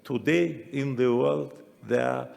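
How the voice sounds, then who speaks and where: A man giving a formal ceremonial speech as a delegate, in deliberate, broken phrases with non-native grammar. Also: mid-range voice, gravelly, darkish tone, long reverb tail. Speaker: parliamentary assembly delegate. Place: large assembly hall. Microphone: podium microphone.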